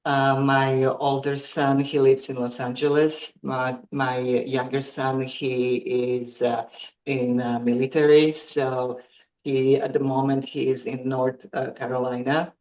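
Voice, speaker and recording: Slow, hesitant woman in her fifties. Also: a bass voice, flat delivery, darkish tone, muffled recording. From Croatia. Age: 50s. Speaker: guest athlete. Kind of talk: podcast interview.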